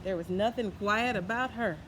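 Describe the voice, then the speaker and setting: A woman reading a children's tall tale aloud in an expressive, folksy way, adding her own asides. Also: medium pitch, normal speed, slight background noise, low-quality recording. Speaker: storyteller. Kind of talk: children's story read-aloud.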